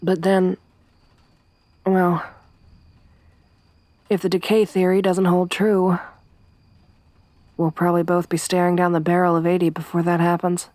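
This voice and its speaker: A young woman voicing a tough, tomboyish survivor companion in an ASMR roleplay, speaking softly with a wry, weary warmth. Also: fairly low pitch, level tone, unhurried, long pauses, no echo, natural voice. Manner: soft-spoken, wry, quietly reflective.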